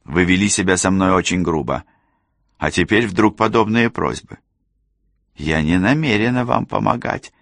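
A man's voice: deep voice, normal speed, vocal fry, a bit bright, dry recording, studio quality. A man voicing a cold, haughty young woman who talks down to people with smug, imperious disdain. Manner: condescending, imperious.

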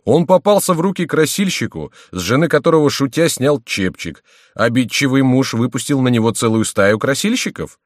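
A man's voice: mid-range voice, lively intonation, moderate pace, no echo, clean studio sound, voice pushed up, natural voice. A man voicing a complaining courtier in an audiobook, indignant, exclamatory and self-pitying. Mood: aggrieved. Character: complaining male courtier, indignant and breathlessly dramatic. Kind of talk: audiobook character voice.

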